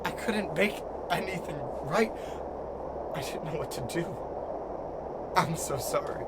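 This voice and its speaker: A man playing a lonely, guilt-ridden, desperate figure in an audio drama, halting and repeating himself as he pleads. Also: a little high, natural voice. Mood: desperate, confessing. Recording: clear background noise and ambience.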